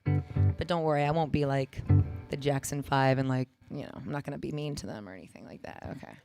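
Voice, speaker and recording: Raspy American woman chatting between songs, guitar behind. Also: a little fast. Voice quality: low, raspy. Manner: rambling, self-deprecating, jokey. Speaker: singer-songwriter. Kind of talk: casual stage banter between songs. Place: live-streamed home performance with guitar.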